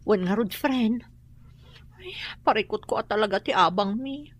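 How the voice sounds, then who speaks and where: Actress doing worried ilocano wife, complaining about troubles, middle pitch, radio drama. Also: female voice, animated, normal speed, no echo, close to an everyday voice. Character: worried, complaining wife short of rent money.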